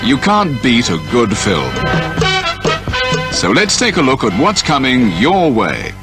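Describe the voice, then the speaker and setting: Very animated male preview announcer. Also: mid-range voice, slow. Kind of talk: scripted announcing. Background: loud music.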